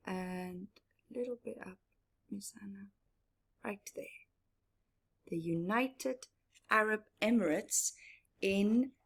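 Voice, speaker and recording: A woman presenting a story time for young children, talking in a singsong way with repeated words and questions to her listeners. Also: medium pitch. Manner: asks listeners questions and answers them. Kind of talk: children's read-aloud and teaching, addressed to young viewers.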